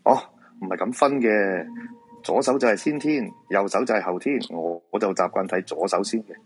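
Low-voiced, courteous feng shui master, played by a man. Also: normal speed, husky, soft background music. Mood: polite, cautious. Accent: Hong Kong Cantonese. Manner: courteous, probing, hesitant. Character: polite, nervous male feng shui fortune-teller with ghost-seeing eyes. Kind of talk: radio drama acting.

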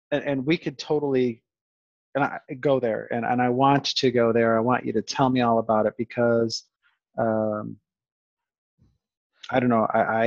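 A man's voice: medium pitch, dry recording, highs cut off. Stuttering male podcast host, dry sound. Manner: stuttering, restarts phrases often. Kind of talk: casual conversation.

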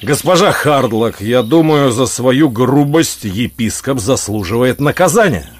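Man as confident outlaw leader, radio play, playful, mid-pitched, light background noise. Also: animated, unhurried, close to an everyday voice. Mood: mock stern.

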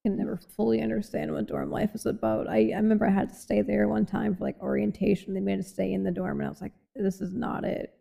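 A woman's voice: medium pitch, level tone, quick pace, creaky, few pauses, warm tone, slightly rough. A young woman from Boston with a light Boston accent, hosting a casual podcast chat and rambling with many fillers. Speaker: podcast host.

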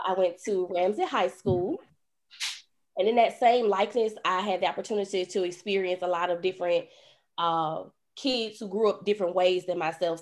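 A young woman talks on a podcast. She tells her story in a chatty, lively way, with an easy conversational flow. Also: medium pitch. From Birmingham, Alabama. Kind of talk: casual podcast chat.

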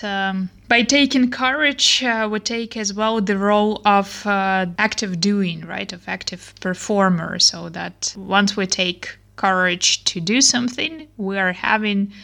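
A woman with a noticeably gravelly, creaky voice talks in a casual discussion. She is reflective and often pauses to search for the right word. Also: medium pitch, bright, forceful tone.

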